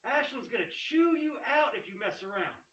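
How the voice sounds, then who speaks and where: Roomy far-off mic, actor as jumpy young guy, high voice, very animated, slangy, horror table read. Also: normal speed, exaggerated voice. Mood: calling out, impatient. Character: uneasy young man, slangy horror-film boyfriend. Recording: echoey, distant, slightly dull microphone.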